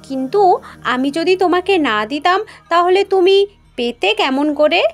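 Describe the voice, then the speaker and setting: Woman voicing an insistent, high-pitched river goddess. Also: animated, measured pace, heavily acted. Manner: insistent, contesting. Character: possessive, argumentative river goddess claiming her son. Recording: music behind the voice.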